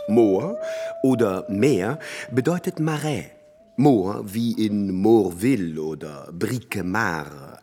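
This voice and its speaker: Slow, wildly swooping pedant, a scholarly lecturer with halting delivery, radio drama in a reverberant hall. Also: male voice, mid-range voice, a little mellow, breathy edge, full character voice. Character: pedantic scholarly man, absorbed in place-name etymologies. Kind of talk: literary radio drama acting.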